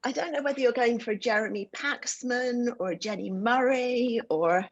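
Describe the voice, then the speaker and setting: A woman's voice: on the high side, moderate pace, no room sound. A woman hosting and moderating an online panel discussion, introducing the speakers in a chatty way with many fillers, heard over a video call. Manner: warm, chatty, with frequent um fillers. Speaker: host and moderator. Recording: dull lo-fi video call audio.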